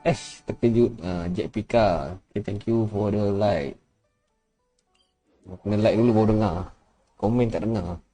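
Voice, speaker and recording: A man's voice: fairly low pitch, normal speed, long pauses, faint music behind. A Malaysian man chatting casually as he live-streams a game, mixing in English words. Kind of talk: casual live-stream chat while gaming. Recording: faint steady background noise.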